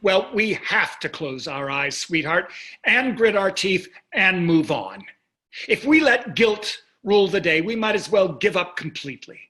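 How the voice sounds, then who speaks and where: Casting: actor as cynical, self-satisfied wealthy husband in a stage drama, brushing things off with dismissive sarcasm. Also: male voice, high voice, animated, unhurried, bright, forceful tone, exaggerated voice. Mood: hard, defiant. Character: wealthy, cynical, callous, golf-loving husband. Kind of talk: staged play reading, marital argument.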